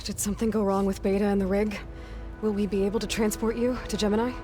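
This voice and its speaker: A woman's voice: medium pitch, little pitch movement, fast, over music, natural voice. Woman playing a determined young game heroine. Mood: concerned, focused. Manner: earnest, probing, resolute. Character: determined, quick-thinking young heroine, a practical warrior. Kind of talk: scripted video game cutscene acting.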